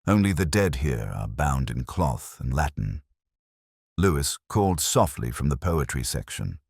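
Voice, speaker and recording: A man reading scripted literary detective fiction as narrator, in a measured, formal and brooding manner. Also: extremely low pitch, big pitch swings, creaky, a little mellow, breathy edge, no echo, studio quality.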